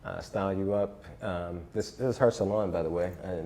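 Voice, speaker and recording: A man's voice: low voice, steady tone, brisk, gravelly, dark tone. A man hosting a casual interview, often repeating words as he starts his sentences. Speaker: host, interviewer.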